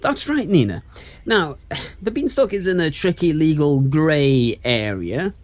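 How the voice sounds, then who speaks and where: A man's voice: on the high side, expressive, a little slow, dry recording. An actor playing an earnest, pedantic male news correspondent in a comic radio pantomime. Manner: pedantic, over-explaining. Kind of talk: parody radio news reporting.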